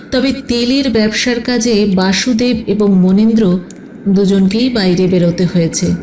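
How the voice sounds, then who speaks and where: A woman's voice: mid-range voice, warm tone, slight background noise. A woman narrating a scripted detective story as an audio storyteller. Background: music.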